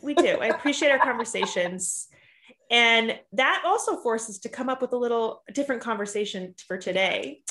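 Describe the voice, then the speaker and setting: A woman's voice: on the high side, expressive, moderate pace, fairly bright tone. A woman from Boston co-hosting a podcast as a dietitian. She chats in an upbeat, eager way and pokes fun at herself. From Boston, USA. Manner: upbeat, eager, self-deprecating. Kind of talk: casual podcast chat.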